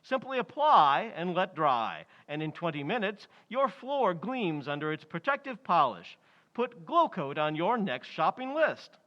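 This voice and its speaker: An actor playing an enthusiastic, polished sponsor announcer, selling in 1940s radio style. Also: male voice, high-pitched, very animated, a little slow, highs cut off. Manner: polished, salesmanlike. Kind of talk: 1940s radio advertising pitch.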